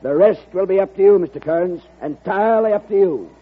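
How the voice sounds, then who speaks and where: An actor in an old-time radio drama playing a terse, scheming party boss with a very husky, measured voice. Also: male voice, high-pitched, over loud music, lightly acted. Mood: assured. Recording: muffled old radio broadcast recording.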